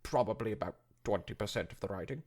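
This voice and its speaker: A man's voice: fairly low pitch, quick pace, darkish tone, lightly acted. A man voicing an eager but insecure young female pegasus writer, sincere and a little hesitant, in a fanfiction reading. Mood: sheepish.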